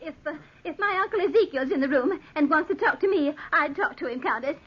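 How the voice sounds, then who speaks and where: A woman's voice: high-pitched, lively intonation, a little fast. An actress playing a timid, fretful lady who scares easily, fluttery and anxious in old-time radio horror style. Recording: dull vintage radio recording.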